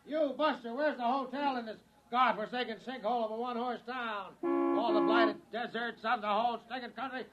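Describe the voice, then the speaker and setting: A man's voice: extremely high pitch, fairly even intonation, a little fast, lo-fi sound, music in the background. An American man playing a brash, wisecracking, blustering movie director in a 1950s radio drama. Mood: irritated, blustering. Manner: blustering, jokey, slangy. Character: brash, wisecracking Hollywood film director, loud and hearty. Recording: old-time radio recording.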